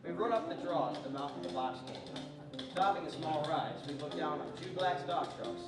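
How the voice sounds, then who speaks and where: Over loud music in a roomy space, a young man plays a cowboy lawman in a radio western parody. He is terse and literal, delivering everything deadpan like an official report. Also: a little high, moderate pace, close to an everyday voice. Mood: matter-of-fact. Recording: echoey stage, distant mic, music behind.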